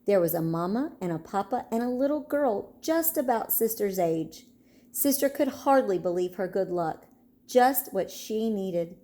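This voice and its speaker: A woman narrating a children's storybook in an expressive, storytelling manner. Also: mid-range voice, measured pace.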